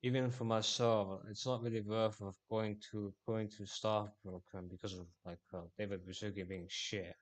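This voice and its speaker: A man from Finland livestreaming casually, rambling with halting restarts. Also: on the low side, little pitch movement.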